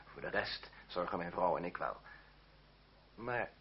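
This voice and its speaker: Radio play role: self-assured, chiding gentleman schemer, lively, on a muffled old tape. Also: low-pitched, measured pace. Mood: placating. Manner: reproachful, urbane. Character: worldly nobleman, exasperated but loyal friend, self-assured schemer. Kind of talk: Dutch radio play acting. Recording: muffled, faintly noisy old radio recording.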